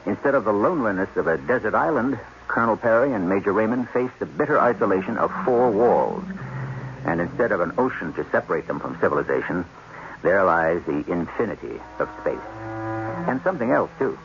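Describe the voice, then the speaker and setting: A man hosting a radio drama, narrating in a deliberate, portentous, formal style. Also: deep voice, expressive, soft background music. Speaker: host and narrator. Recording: dull old radio broadcast.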